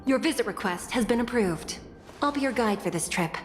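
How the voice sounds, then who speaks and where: A woman playing a stern, formal prison judge and guide in a video game, speaking in a solemn, measured way. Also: fairly high pitch, animated, close to an everyday voice. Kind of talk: scripted game dialogue. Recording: long hall reverb, music behind.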